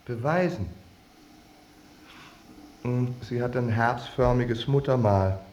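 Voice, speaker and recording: A man's voice: mid-range voice, slow, a little mellow, a little hiss or hum, close to an everyday voice. Echoey, smug, taunting man played by an actor. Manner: smug, cool, provoking. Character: smug, taunting male rival boasting of conquest. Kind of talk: radio drama dialogue. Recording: echoey room, distant muffled sound.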